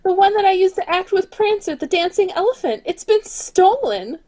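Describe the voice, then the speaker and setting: A woman acting out a proud circus star in a 1940s-style radio play, indignant and taunting. She has a high voice with lively swings in pitch. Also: normal speed.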